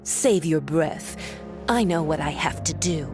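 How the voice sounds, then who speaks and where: A woman playing a cold, imperious villainess in a video game, speaking in a measured, cutting, condescending way. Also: low voice, lively intonation. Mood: cold taunting. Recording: music behind the voice.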